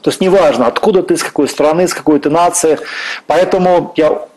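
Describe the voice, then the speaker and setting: A man's voice: a little high, a little fast, a little mellow, rough recording. A middle-aged man from Russia, a guest minister speaking earnestly and preacherly about faith on a church stage. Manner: fervent, preacherly, quotes scripture. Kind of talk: earnest faith-based interview talk.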